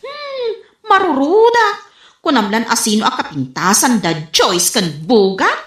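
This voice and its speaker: A woman's voice: high-pitched, sing-song, a little slow, a bit bright, full character voice. A woman with a northern Philippine accent playing an envious, scornful neighbour who grumbles, in a comedy radio drama. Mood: defensive, scornful. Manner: grumbling, disdainful. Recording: roomy echo, music behind.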